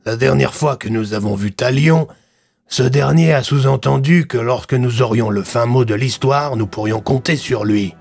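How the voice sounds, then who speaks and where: A man voices a stern commissioner in an audio crime fantasy, formal and measured. The voice is gravelly and mid-pitched, giving orders over soft music. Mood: serious.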